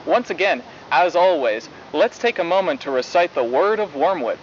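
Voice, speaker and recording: A young man playing a cheery, faintly menacing high school news anchor in a 1990s horror broadcast, with formal, polished delivery. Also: big pitch swings, moderate pace. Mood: formal, upbeat. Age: young adult. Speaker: news host. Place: outdoors in a forest at night. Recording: dull lo-fi VHS-style audio, faint noise.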